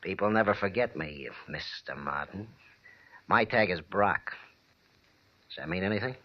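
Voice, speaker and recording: Low nasty radio gangster, smug fake-friendly threats, muffled old recording. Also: male voice, lively intonation. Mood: smug menace. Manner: mock-friendly, taunting, drawn-out. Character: menacing, smug gambler's enforcer with a gun. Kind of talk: 1940s radio crime drama acting.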